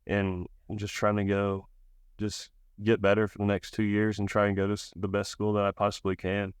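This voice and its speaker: A young man, around college age, giving casual, low-key interview answers as a baseball player. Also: monotone, normal speed, clean tone, no echo, clean studio sound. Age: young adult, about 20.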